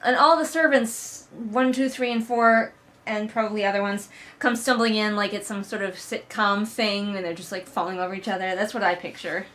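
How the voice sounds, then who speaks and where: A woman's voice: medium pitch, fairly even intonation, moderate pace, fairly bright tone. Sarcastic woman giving a casual comic recap. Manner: sarcastic, exasperated, swears casually; acts out mocking character quotes. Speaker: host of a book read-along.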